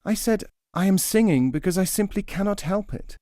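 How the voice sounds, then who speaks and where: A man voicing a forlorn, high-pitched little nightingale. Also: lively intonation, moderate pace, dark tone, dry recording, clean studio sound. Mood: plaintive, aggrieved. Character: forlorn homeless nightingale, male, plaintive and earnest. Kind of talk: storybook fable character voice.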